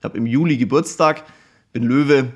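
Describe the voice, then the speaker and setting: A man's voice: mid-range voice, normal speed. A man, hosting his own podcast and telling his life story in a casual, frank, self-deprecating way from his office. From Kulmbach, Upper Franconia, Germany. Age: 40s. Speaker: podcast host. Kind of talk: casual personal storytelling monologue.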